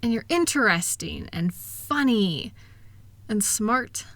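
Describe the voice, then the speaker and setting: A woman playing a kind, reassuring wife who gently and earnestly encourages her partner in an intimate one-sided roleplay audio. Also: a little high, lively intonation, slow, dry recording, full character voice. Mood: affectionate, encouraging.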